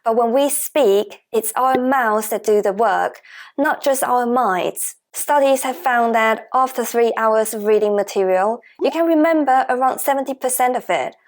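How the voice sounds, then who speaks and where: A woman whose first language is Chinese teaches a Mandarin lesson in English, her voice sitting slightly higher than most. She sounds gentle and encouraging and paces her script with care. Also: moderate pace. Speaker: Mandarin teacher, channel host.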